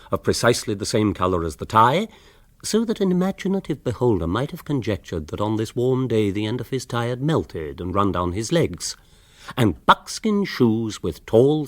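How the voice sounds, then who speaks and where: An actor playing an urbane English author, narrating a radio comedy in a literary, drily amused manner. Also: male voice, mid-range voice, no room sound.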